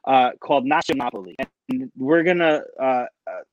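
A man in his thirties, a podcast guest, chatting in an animated way with stammering restarts. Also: mid-range voice, normal speed, muffled recording. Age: 30s. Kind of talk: casual podcast chat.

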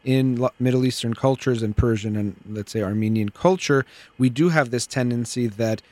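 A middle-aged man hosting a call-in psychology radio show, explaining things in a calm, measured way. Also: medium pitch. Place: radio studio.

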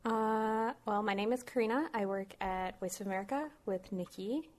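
A woman's voice: mid-range voice, moderate pace, a little mellow. A young adult woman from Malaysia and the US, a guest chatting casually on a podcast with fillers and light laughs. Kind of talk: casual chat.

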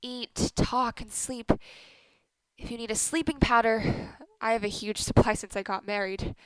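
A woman's voice: a little high, steady tone, measured pace, fairly bright tone, clear, resonant tone, dry recording, low-quality recording. A woman acting in a radio play as a stern, controlling middle-aged mother, speaking in clipped, pointed phrases. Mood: firm, controlling. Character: Miriam, stern married Turkish woman, a controlling, sharp-tongued mother.

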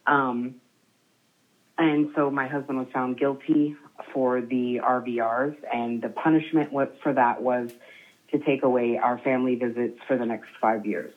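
A woman in her thirties on the phone, telling her family's story in a halting way, with many fillers and restarts. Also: extremely low pitch, steady tone. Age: 30s. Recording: tinny phone line.

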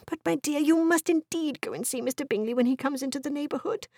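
A woman voicing a fussy, excitable, scheming middle-aged mother in a period novel audiobook, with a fluttery, gushing, exclaiming manner. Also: sits high, fast talker, no echo, clean studio sound, pitched above the performer's own voice. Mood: pleading, insistent.

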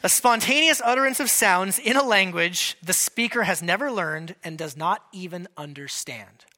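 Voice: medium pitch, normal speed, sharp tone. A pastor giving a sermon in a conversational way, breaking it up with joking asides.

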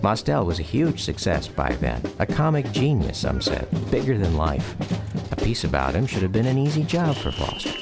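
A grown American son looking back on his father in a radio drama. His voice is low and moves expressively through measured, grave storytelling. Music plays clearly behind him. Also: very animated. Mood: grave, reflective.

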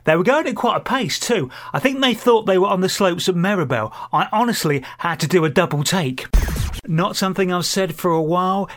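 A British man hosts a music radio show in a slightly higher-pitched voice. He delivers chatty DJ links and small everyday anecdotes with a conversational, lightly self-deprecating touch. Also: a bit bright. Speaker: radio presenter. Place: radio studio.

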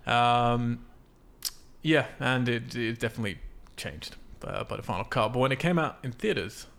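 A man's voice: mid-range voice, normal speed, creaky, a bit bright. A man hosting a casual film podcast, explaining things in an informal, sweary way. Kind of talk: casual film discussion from notes.

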